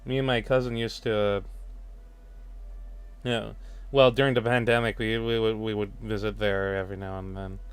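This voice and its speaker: A man's voice: lowish voice, flat delivery, clear, resonant tone, no room sound. A man hosting a work stream, chatting casually and hesitantly. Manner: hesitant, unhurried. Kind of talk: casual, halting livestream chat. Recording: faint music behind voice. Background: faint music.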